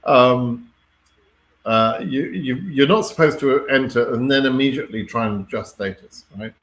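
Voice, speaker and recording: A man's voice: mid-range voice, moderate pace, a bit bright, slight background noise, poor audio. A man with a British accent hosting an informal live question-and-answer stream, speaking in a blunt, wry, mildly exasperated way with the odd swear word. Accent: British English. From Britain.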